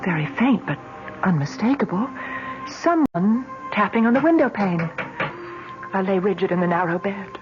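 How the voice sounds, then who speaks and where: A woman's voice: medium pitch, animated, moderate pace, natural voice. Radio drama daughter, played by a woman: troubled, earnest, steadfast. Dull vintage sound. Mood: haunted, hushed. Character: troubled adult daughter Una, anguished but steadfast. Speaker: actress narrating and playing the lead. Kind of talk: old-time radio drama acting. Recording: dull vintage radio recording, music underneath.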